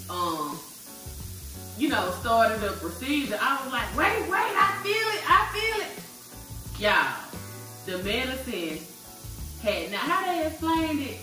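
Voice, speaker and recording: A woman in her forties co-hosting a family vlog, telling a story to viewers in a lively way and calling them y'all. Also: mid-range voice, recorded from a distance. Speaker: vlog co-host, wife. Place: home kitchen, indoors. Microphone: far camera. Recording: very echoey kitchen from afar with music.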